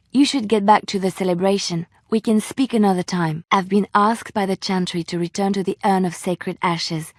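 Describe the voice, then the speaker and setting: An actress voicing a gentle, devout young woman adventurer in a video game, soft-spoken and earnest, by turns wistful and playful. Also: mid-range voice, dry recording, studio quality, lightly acted. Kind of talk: video game voice acting, intimate dialogue.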